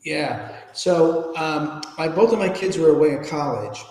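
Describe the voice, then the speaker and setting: An American man from Chicago, fifties or older, telling his own story candidly as a podcast guest in an informal, halting, emphatic way. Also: a little high, a little mellow, a little hiss or hum. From Chicago, United States. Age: 50s or older. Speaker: podcast guest, ethics trainer and speaker. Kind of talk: candid personal storytelling in an interview. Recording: roomy, echoing reverberant room.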